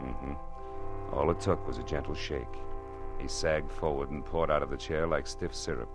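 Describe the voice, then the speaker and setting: A man's voice: very deep voice, normal speed. An actor playing a hardboiled, wisecracking male private detective, dry and terse, in an old-time radio drama. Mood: moody, dry narration. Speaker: lead actor and narrator. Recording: vintage radio recording with music.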